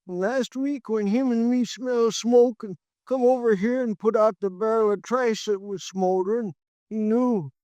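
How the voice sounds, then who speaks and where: An actor playing a loyal, frightened old lumberyard hand in a 1950s radio drama, who speaks in slow, halting rural speech. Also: male voice, sits high, darkish tone, no echo. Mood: grieving. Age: older.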